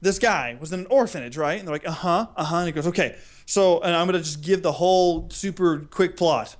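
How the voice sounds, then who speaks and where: A man co-hosting a podcast, telling stories in a casual, humorous, animated and rambling way. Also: a little high, a little fast. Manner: animated, rambling, acts out imagined dialogue. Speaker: podcast co-host. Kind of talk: casual humorous chat, storytelling.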